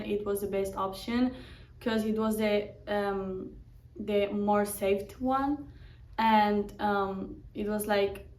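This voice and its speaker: Young Spanish woman, flat voice, echoey room. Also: medium pitch. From Spain. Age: young adult. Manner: simple non-native phrasing, frequent "like". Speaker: boarding school student. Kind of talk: casual interview answers. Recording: echoey room, mic at a distance.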